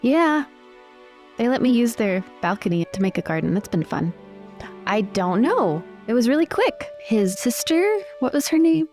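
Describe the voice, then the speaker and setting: A woman's voice: a little high, very animated, moderate pace, darkish tone. A woman playing a curious, chatty young well-bred woman, talking informally and asking questions in improvised roleplay over music. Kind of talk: improvised in-character roleplay dialogue.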